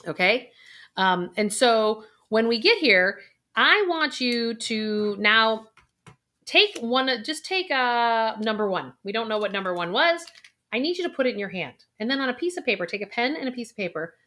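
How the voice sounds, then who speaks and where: Bright, animated woman coaching a breathing exercise. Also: on the high side, normal speed. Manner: expressive, coaching, walks viewer through breathing. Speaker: financial planner and coach. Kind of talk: guided intuition exercise talk to camera.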